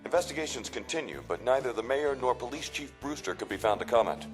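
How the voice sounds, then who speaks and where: A man's voice: mid-range voice, animated, quick pace, soft background music, natural voice, poor audio. A man playing a formal TV news anchor. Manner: formal newsreader delivery.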